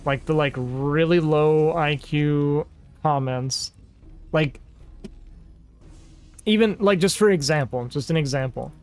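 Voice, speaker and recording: A man's voice: fairly high pitch, takes it slowly, long pauses, a little mellow, clean tone. A man streaming games who chats casually with his viewers, rambling and exasperated and saying "like" a lot. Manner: rambling and exasperated, heavy use of "like". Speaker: game streamer. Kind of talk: casual livestream chat with viewers.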